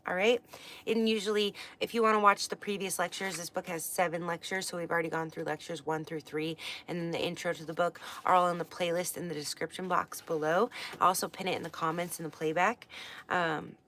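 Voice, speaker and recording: A woman's voice: on the low side, steady tone, fairly quick, a bit bright. A woman from the west coast of the United States, teaching astrology in a casual livestream, chatty and rambling with many fillers and restarts. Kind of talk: casual livestream book study.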